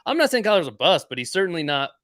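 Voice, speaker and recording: A male co-host with a bright, forceful tone, pushing his opinions hard in casual sports talk. His pitch jumps when he gets worked up, and the recording is close and dry. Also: big pitch swings, very fast, dry recording. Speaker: podcast co-host. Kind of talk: casual sports podcast chat.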